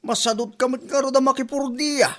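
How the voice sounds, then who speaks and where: A man's voice: extremely high pitch, steady tone, a little fast, fairly bright tone. A man playing a scolding, blunt older father and grandfather in an Ilocano comedy drama, lecturing in a Filipino Ilocano accent. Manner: nagging, lecturing.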